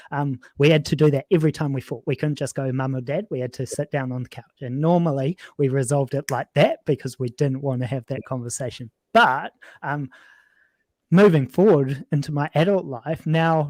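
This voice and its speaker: Man, podcast host, reflective, halting, mid-pitched, dry close sound. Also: darkish tone, no room sound. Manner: reflective, halting with many ums. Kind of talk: casual podcast conversation.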